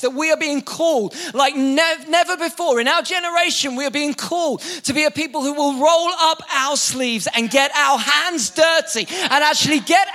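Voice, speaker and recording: Young preacher, high bright voice, lively and jokey, stumbles over restarts. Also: male voice. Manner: energetic, joking, many restarts. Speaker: pastor. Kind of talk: sermon. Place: church hall with live congregation.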